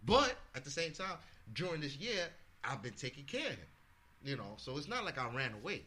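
A man from the Bronx telling a story as a podcast guest, animated, swearing freely and acting out what people said. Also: fairly high pitch, a little fast. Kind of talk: casual storytelling.

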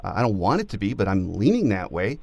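An American man hosting a conversational sports interview, giving his opinions as a measured, longtime fan. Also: on the low side, very animated, gravelly, a little mellow, no echo, dull audio. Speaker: sports podcast host.

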